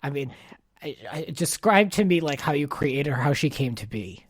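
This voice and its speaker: A man's voice: fairly high pitch, animated, normal speed. A man hosting a podcast interview in a casual, conversational style. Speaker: podcast host.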